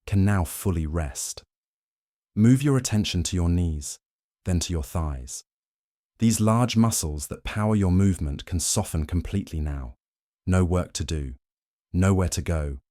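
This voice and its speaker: A man with a very low, mellow voice leads a guided sleep meditation. He speaks slowly and soothingly, every phrase measured. The sound is dry and close, with no room around it. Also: no echo.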